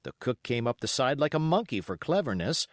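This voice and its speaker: A man's voice: mid-range voice, sing-song, fairly quick, dry recording, clean studio sound. A man narrating an adventure novel as an audiobook reader, telling the story expressively from a script.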